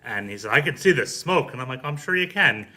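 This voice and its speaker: A man with a strikingly bright, hard-edged voice speaks casually. He is a fire officer telling stories of calls he has run. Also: mid-range voice, expressive, fast talker. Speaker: fire officer being interviewed. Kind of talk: casual storytelling.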